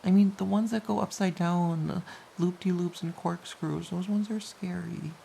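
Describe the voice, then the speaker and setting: A woman playing a playful, affectionate, teasing partner in an intimate audio roleplay of soft pillow talk. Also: fairly low pitch, fairly even intonation, normal speed, creaky. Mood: mock-pouty. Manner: teasing, giggly, mock-pouty. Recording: faint background hiss.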